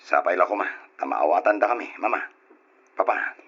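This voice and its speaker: A grown son in an old radio play, earnest and pleading. His voice is low and husky. The lo-fi sound marks an old radio recording. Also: animated, brisk, heavily acted.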